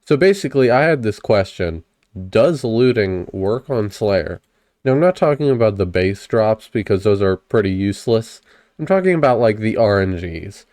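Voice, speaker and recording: A man's voice: lowish voice, animated, normal speed, darkish tone, no room sound, studio quality. A young man recovering from a cold, casually narrating a video game test as host of his channel and explaining each step in a chatty, upbeat way. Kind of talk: casual gameplay commentary.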